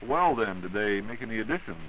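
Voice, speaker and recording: Wry American interviewer on old radio. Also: male voice, on the low side, lively intonation, brisk. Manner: wry, prompting with questions. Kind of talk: 1940s scripted radio interview. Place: radio studio. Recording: dull, hissy 1940s radio recording.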